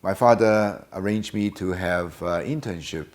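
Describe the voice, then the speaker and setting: An older man in a very deep voice. He answers unhurriedly and hesitantly, filling his pauses with many "uh"s. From Hong Kong. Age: about 70. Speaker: guest. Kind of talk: casual interview answers.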